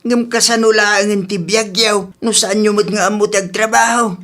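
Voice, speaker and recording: A man playing a worried, thrifty old father in a radio drama, questioning and gently reproving with an Ilocano accent. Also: high voice, steady tone, normal speed. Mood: worried reproach. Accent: Filipino (Ilocano). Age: older.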